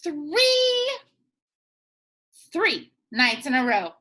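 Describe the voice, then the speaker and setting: A feisty old country granny from a storybook, acted out by a woman. She is indignant and emphatic, pitched high with a forceful, swooping delivery. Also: huge pitch swings, very slow, exaggerated voice. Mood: indignant, determined. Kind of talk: animated children's storybook read-aloud.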